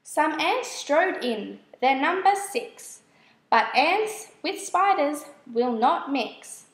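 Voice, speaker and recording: A woman reading children's verse aloud as a storyteller, in a measured, expressive way. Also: high voice, reverberant, rough recording. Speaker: storybook reader. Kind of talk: scripted children's verse narration.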